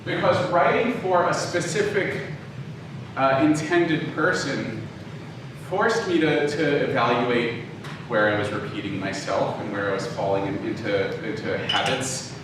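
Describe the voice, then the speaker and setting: A man giving an informal, conversational lecture, often halting and backtracking to rephrase as he tells personal anecdotes. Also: medium pitch. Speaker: lecturer, audio drama writer and producer. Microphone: distant from the speaker. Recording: very echoey room, distant mic, clearly noisy.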